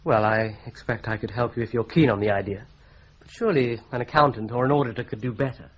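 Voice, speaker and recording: An actor playing a polite, careful young solicitor in a radio drama, precise in manner. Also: male voice, medium pitch, steady tone, quick pace, close to an everyday voice. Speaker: solicitor at the firm. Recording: dull lo-fi radio recording.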